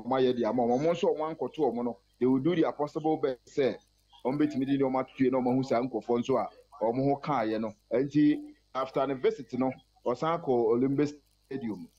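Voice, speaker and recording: A man's voice: medium pitch, dark tone, husky. A man giving a live sports news report over the phone, fluently mixing Twi and English. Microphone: phone line. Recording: muffled phone line.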